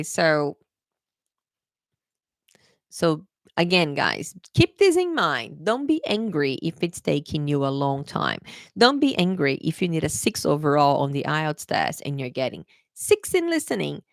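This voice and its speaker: A woman's voice: deep voice, creaky, no room sound, clean studio sound. A young adult woman from Brazil with a Brazilian Portuguese accent, an English teacher chatting through a live-streamed exam prep lesson, repeating key points and calling viewers "guys.".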